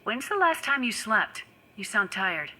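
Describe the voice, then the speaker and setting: An actress playing a brisk, direct, caring executive woman with a husky voice in a video game. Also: mid-range voice, very animated, sharp tone, heavily acted, low-quality recording. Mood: concerned. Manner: direct, pointed.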